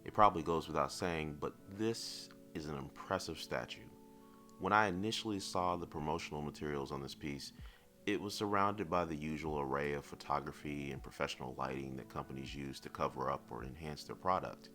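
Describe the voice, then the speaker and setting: A man reviewing collectibles in semi-scripted narration, speaking in a measured, articulate and lightly wry way over background music. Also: very deep voice, a bit bright.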